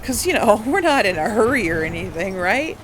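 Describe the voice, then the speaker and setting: A woman's voice: medium pitch, sing-song, fairly quick, a bit bright, a little hiss or hum. A woman hosting a casual let's play, chatting to viewers and talking to herself as she plays, with exclamations. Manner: talks to self while playing, exclaiming. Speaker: gaming video host.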